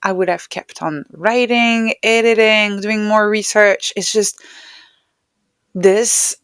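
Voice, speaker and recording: A woman's voice: mid-range voice, fairly even intonation, takes it slowly, fairly bright tone, clear, resonant tone, dry recording, clean studio sound. A woman speaking English as a second language, answering reflectively as a guest in an interview conversation. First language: not English. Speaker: podcast guest, writer and neuroscientist.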